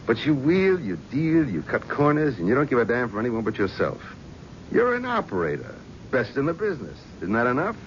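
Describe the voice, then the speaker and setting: A man's voice: medium pitch, wildly swooping pitch, brisk. An actor playing a dry, plain-spoken man who works as an agency partner in a 1970s radio drama. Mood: needling. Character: wry, blunt male agency partner. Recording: dull lo-fi old radio broadcast with faint hiss.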